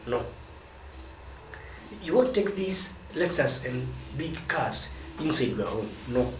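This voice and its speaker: A man's voice: mid-range voice, expressive, slow pace. A Kenyan man giving marriage advice as a teaching talk, stressing key words and drawing them out. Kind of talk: teaching monologue. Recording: roomy echo from a distance, noisy.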